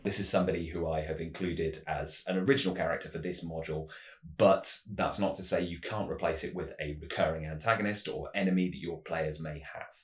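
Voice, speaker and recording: Man, very low voice, measured storyteller delivery, scripted game-hosting narration, echoey room. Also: fairly even intonation. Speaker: host of a tabletop roleplaying channel.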